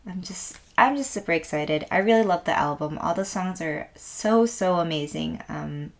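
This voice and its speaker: A woman with a raspy voice today, chatting softly and gushing with lots of fillers as she unboxes an album at a table. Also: fairly low pitch, expressive, moderate pace. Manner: soft, rambling, gushing with many fillers. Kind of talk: casual unboxing chat. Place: indoors at a wooden table.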